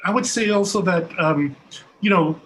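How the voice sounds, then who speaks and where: A middle-aged Californian American man with a fairly high voice moderates a panel casually. He is on a video call, with some light noise in the sound. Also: moderate pace, fairly bright tone. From Southern California, US. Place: home room, video call.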